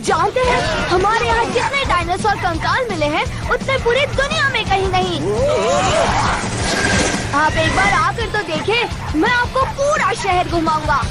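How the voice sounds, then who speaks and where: A woman voicing a cocky, mischievous young boy in an animated film dub, chatty, boastful and excitable, with music behind. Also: very high-pitched, moderate pace, a loud crowd. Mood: enthusiastic.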